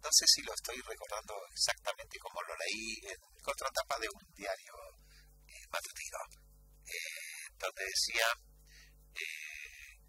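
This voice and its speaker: A man with a Buenos Aires accent hosting conversational live radio, talking in a halting, reflective way. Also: mid-range voice, huge pitch swings, sharp tone, a little hiss or hum. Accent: Argentine, Buenos Aires. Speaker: radio host. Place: radio studio. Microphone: studio microphone.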